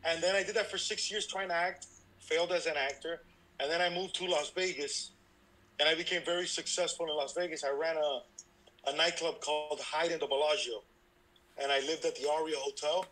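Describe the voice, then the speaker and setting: A man's voice: fairly high pitch, a little fast, poor audio. A man in his thirties, born in Cuba and raised in Miami, telling his life story frankly and with energy as an interview guest. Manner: energetic, frank and self-deprecating. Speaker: baseball instructor guest.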